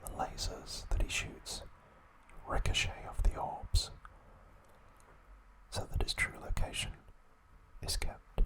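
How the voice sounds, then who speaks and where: A man narrating trivia as an ASMR host, hushed and deliberate, in short separated phrases. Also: poor audio.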